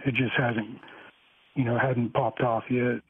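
A man calling into a talk show, rambling with many halting restarts and fillers. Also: mid-range voice, little pitch movement, husky. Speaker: caller. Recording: tinny phone line.

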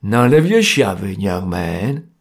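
Gruff tough guy, blunt and bullying, gravelly rasp, as a narrator puts him on. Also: sits low, very animated, slow, voice pushed down, exaggerated voice. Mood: threatening. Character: tough, menacing Cockney ringleader.

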